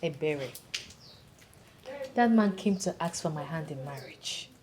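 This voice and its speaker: A young Nigerian village woman in a film drama. Her voice swings in pitch as she pleads and protests with plain-spoken defiance, over faint background noise. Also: lively intonation, measured pace, darkish tone. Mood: exasperated. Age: young adult. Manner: emphatic, pleading, indignant. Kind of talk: naturalistic film drama dialogue.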